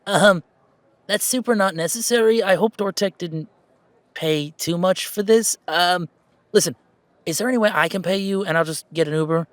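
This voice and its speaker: A man's voice: sits high, moderate pace, dry recording, close to an everyday voice. A man playing an affectionate, anxious young gamer in a conversational audio roleplay, talking in a hesitant, rambling way. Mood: flustered, apologetic. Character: affectionate, anxious, self-deprecating young gamer, wary of family.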